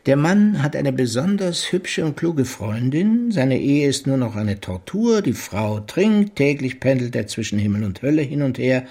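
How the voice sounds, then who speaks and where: Husky, wry police detective. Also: male voice, medium pitch, sing-song, warm tone. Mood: wry, musing. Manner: dry, ironic, deliberate. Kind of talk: German radio play acting.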